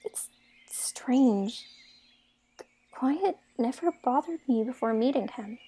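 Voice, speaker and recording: A woman's voice: on the high side, lively intonation, slow pace, dark tone, slight background noise. A woman playing a spirited, outspoken young fairytale heroine in an audio drama. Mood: pensive.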